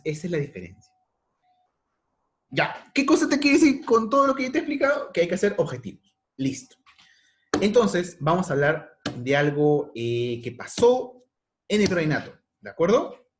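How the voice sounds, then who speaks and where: A man's voice: high voice, sing-song, normal speed, long pauses, a little hiss or hum. A man giving a casual history lesson to secondary students, thinking aloud and rephrasing, often checking in with "¿de acuerdo?". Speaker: history teacher.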